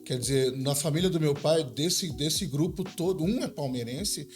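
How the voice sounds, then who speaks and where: A man in his forties from São Paulo, speaking with a paulistano accent and a voice a touch higher than most men's. He talks in an easy, chatty way, tags his sentences with little questions and laughs readily, and music plays clearly behind him. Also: moderate pace. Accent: Paulistano Brazilian Portuguese. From São Paulo, Brazil. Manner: relaxed, chatty storyteller who laughs easily and adds tag questions. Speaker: pastor and seminary director. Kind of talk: casual podcast conversation.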